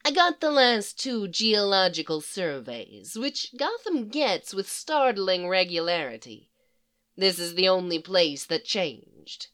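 A woman voicing a calm, literal-minded male psychiatrist in a matter-of-fact manner. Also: medium pitch, slow. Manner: matter-of-fact, even, unflappable.

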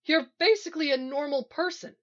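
A woman's voice: high-pitched, fairly quick, highs cut off, pitched above the performer's own voice, lightly acted. A woman acting an earnest young male hero in a story, agitated and defensive. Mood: horrified.

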